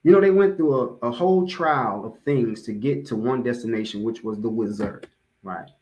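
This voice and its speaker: A man's voice: medium pitch, normal speed, darkish tone, slight background noise. An American man giving an informal motivational sermon, repeating key phrases for emphasis and checking in with his listeners conversationally. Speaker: religious speaker giving a public address.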